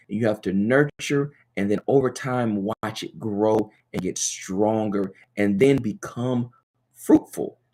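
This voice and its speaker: A man with a raspy, sinus-hoarse voice hosts an education webcast, talking straight to viewers and stretching out key words for emphasis. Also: lowish voice, a little mellow. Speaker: education webcast host and consultant. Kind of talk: casual webcast talk.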